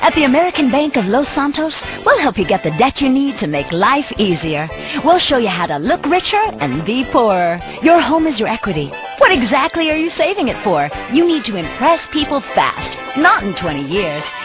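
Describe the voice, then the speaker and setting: A slick advertising announcer, fairly high and very animated over music. She pushes the sale cheerfully, in the manner of a parody bank commercial. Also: a little fast. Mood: slick, upbeat.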